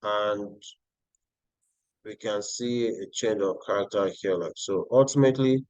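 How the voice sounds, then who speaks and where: A man's voice: on the low side, a little slow, darkish tone, dry recording, poor audio. A Nigerian-accented man hosting a live trading stream, talking viewers through charts and answering their chat. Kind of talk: live-stream market analysis.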